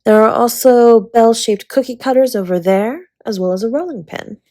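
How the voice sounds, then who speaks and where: A woman playing a flirty, affectionate dragon baker spouse in a romantic roleplay audio, with a breathy, husky, teasing delivery. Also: on the high side, moderate pace, mellow, no echo, clean studio sound, natural voice. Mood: playful, instructive. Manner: teasing, intimate, often slipping into breathy whispers.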